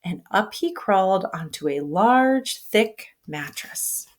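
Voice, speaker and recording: A woman reading a children's picture book aloud as a teacher, expressive and pausing to ask the listener questions. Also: mid-range voice.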